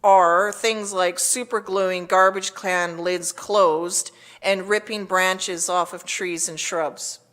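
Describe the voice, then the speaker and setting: A woman's voice: lowish voice, fairly even intonation, slow pace, hardly any pauses, a bit bright. Woman reading a formal public address. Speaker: mayor giving a public address. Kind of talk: scripted public address, read aloud.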